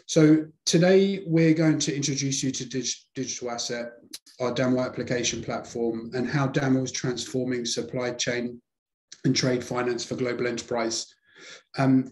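A man's voice: medium pitch, darkish tone, reverberant. A man with a London accent giving a webinar presentation over a video call, hesitant with many fillers. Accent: London English. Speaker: sales presenter.

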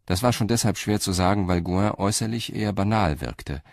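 A man narrating a crime radio play in a measured, articulate, literary style. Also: deep voice, creaky, no room sound.

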